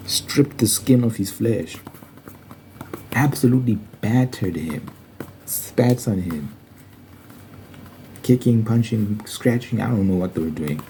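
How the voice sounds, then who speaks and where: A man's voice: fairly low pitch, expressive, takes it slowly, long pauses, mellow, slight background noise, poor audio. A man giving an informal, earnest Bible study monologue in an ASMR style, repeating phrases for emphasis.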